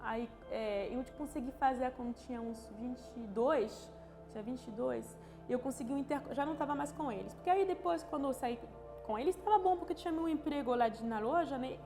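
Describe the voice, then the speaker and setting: High-voiced woman. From France. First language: French. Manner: halting, searching for words, frequent restarts and tag questions. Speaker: interviewee. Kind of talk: personal testimony interview. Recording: long reverb with music underneath.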